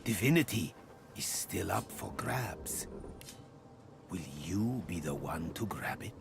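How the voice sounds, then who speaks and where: A man voicing an ominous otherworldly entity in a video game, speaking slowly with a deep gravelly voice. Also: lively intonation, noisy background, full character voice. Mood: taunting. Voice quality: deep gravelly voice, slow and taunting.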